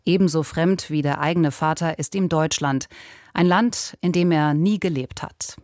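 A woman's voice: low-pitched, normal speed, a little mellow, no room sound, clean studio sound. A woman announcer reading a scripted broadcast introduction. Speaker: radio announcer.

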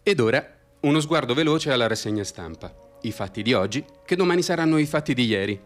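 An actor playing a mock-solemn, pompous military radio announcer who reads scripted satirical bulletins in formal style. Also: male voice, mid-range voice, lively intonation, quick pace, soft background music, close to an everyday voice. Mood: brisk bulletin. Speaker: radio presenter.

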